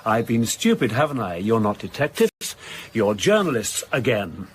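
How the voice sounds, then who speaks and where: An actor playing an evasive, reclusive crime novelist in a radio drama, British accent, articulate, guarded and pedantic. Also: male voice, lowish voice, very animated, moderate pace, a little hiss or hum. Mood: wry, defensive. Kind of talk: naturalistic radio drama acting.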